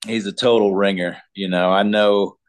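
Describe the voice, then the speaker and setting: A singer-songwriter from Colorado, a man, answering interview questions in a laid-back, halting way with many ums. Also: lowish voice, steady tone, clean tone. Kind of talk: casual interview chat.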